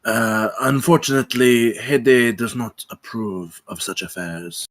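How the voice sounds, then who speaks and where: A man playing a brooding, controlled, vengeful count in a dramatic table read, with a husky voice. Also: mid-range voice, fairly even intonation, measured pace, close to an everyday voice. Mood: smooth, guarded.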